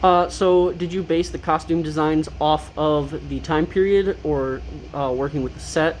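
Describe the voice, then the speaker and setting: A man's voice: a little high, steady tone, unhurried, darkish tone, a little hiss or hum. A young man, a student interviewer, asking casual interview questions. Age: young adult.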